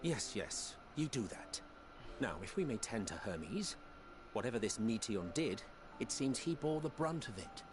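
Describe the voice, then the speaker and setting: A man's voice: medium pitch, big pitch swings, unhurried, background noise. An actor playing a sardonic, aloof male scholar in a voiced game cutscene, speaking with dry, slightly haughty wit.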